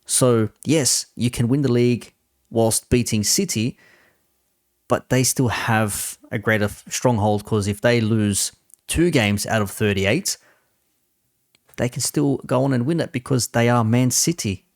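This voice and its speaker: A man's voice: medium pitch, a little slow, no echo, studio quality. A man giving casual, opinionated commentary as a host, blunt and sweary in a conversational way. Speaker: host reacting to a football debate stream.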